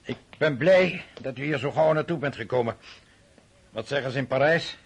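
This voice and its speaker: An actor in a radio play voicing a vain, impatient emperor who speaks in a curt, commanding, self-satisfied way. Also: male voice, mid-range voice, moderate pace, breathy edge. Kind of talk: radio drama acting.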